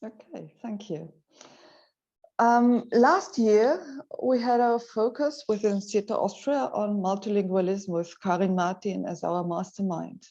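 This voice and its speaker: A woman interviewer asking measured questions, hesitating with fillers. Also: mid-range voice, mellow. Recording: dull lo-fi sound.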